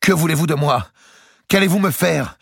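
A man reading aloud puts on a deposed king pleading with his captors, his voice pushed high and strained with fear. The grain stays gravelly and husky, and the sound is close and dry. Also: moderate pace, fairly bright tone, no room sound, full character voice. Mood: anguished.

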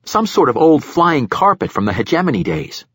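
A man voicing a nervous, eager young military lieutenant in an audiobook, deferential and halting. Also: fairly high pitch, sing-song, fairly bright tone, husky, no echo, clean studio sound, voice pushed up, heavily acted. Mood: eager, storytelling. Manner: deferential, halting, peppers speech with sir. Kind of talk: audiobook character dialogue.

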